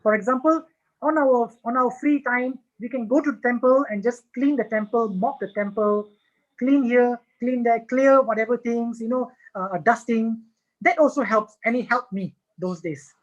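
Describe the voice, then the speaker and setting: A man with a Singaporean accent answering questions after a talk, often restarting with repeated "and, and". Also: extremely high pitch. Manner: halting, many repeated "and, and" restarts. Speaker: guest speaker answering questions. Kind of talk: Q&A after a lecture. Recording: muffled lo-fi video call audio.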